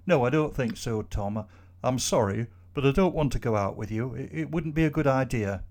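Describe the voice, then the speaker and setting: A man voicing a young woman of about thirty who speaks quietly and candidly in a storybook reading. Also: mid-range voice, animated, normal speed, lightly acted. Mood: apologetic. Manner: quiet, soft-spoken.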